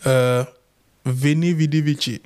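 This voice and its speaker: Casting: young Chadian man, slightly high voice, lively storyteller who acts out quotes, accented French. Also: slow pace, clear, resonant tone. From Chad. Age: young adult. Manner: animated, acts out quoted dialogue. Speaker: guest lawyer. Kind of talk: casual interview storytelling.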